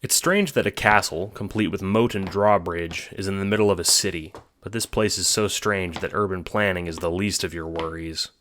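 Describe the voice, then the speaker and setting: A man narrates a scripted audio drama. His voice is low-pitched yet sharp and forceful. Clear background noise runs beneath the narration. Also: normal speed, hardly any pauses.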